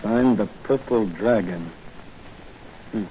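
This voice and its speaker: An actor playing a confident, brisk police officer hero in a 1940s radio drama, decisive and matter-of-fact. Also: male voice, lowish voice, dark tone. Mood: serious, wary. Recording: muffled, noisy 1940 radio recording.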